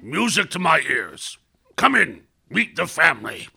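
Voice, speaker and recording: A man voicing an older island farmer with a gravelly, raspy voice and grand, old-fashioned phrasing. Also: fairly high pitch, sing-song, a little slow, music in the background, full character voice. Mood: theatrical.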